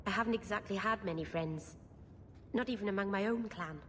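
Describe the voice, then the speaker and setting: An actress playing an awkward, earnest, bookish young elf mage in a video game. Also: female voice, medium pitch, brisk, close to an everyday voice. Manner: earnest, self-deprecating, socially awkward. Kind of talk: video game dialogue and storytelling. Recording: reverberant room, clear background noise.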